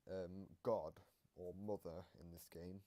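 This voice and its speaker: A man with a deep, mellow voice gives casual commentary as the host of a reaction video. He explains game lore haltingly, often letting a thought trail off before picking it up again. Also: fairly even intonation.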